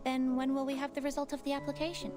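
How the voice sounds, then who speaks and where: A brisk, reassuring game character talks quickly in a high voice, voiced by a woman. She sounds slightly distant, with clear game music behind her. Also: monotone, darkish tone, natural voice. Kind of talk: game voice acting.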